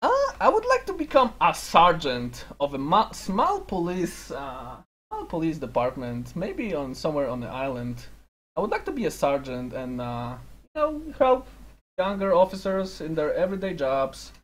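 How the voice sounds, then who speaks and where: A man's voice: on the high side, very animated, normal speed. A man roleplaying a job applicant in a game, hesitant and full of fillers, breaking into laughter. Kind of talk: in-character roleplay banter.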